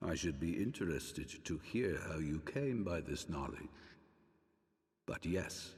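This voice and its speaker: An actor playing a stern, eloquent older religious ruler in a fantasy game, speaking measured, archaic oratory. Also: male voice, very deep voice, lively intonation, full character voice. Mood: grave, measured. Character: stern, eloquent older male religious ruler, a father justifying old sins. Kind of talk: dramatic fantasy game cutscene acting. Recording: long reverberant hall.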